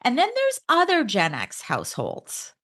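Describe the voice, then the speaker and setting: A woman in her fifties hosting a solo podcast, talking in an earnest, conversational way that jumps up in pitch for emphasis. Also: wildly swooping pitch, measured pace, a bit bright. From Canada. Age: 50s. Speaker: podcast host.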